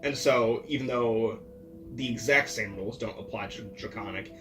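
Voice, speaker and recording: A man's voice: mid-range voice, normal speed. A man playing a tabletop game, chatting casually and thinking aloud as he explains an idea. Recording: ambient music behind the voice. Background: ambient background music.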